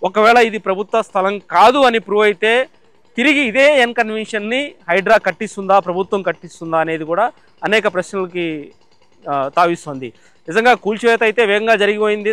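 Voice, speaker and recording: A man's voice: high-pitched, a little fast, a bit bright, clear, resonant tone, no echo. A man reporting the news from a site, explaining step by step with fillers and restarts.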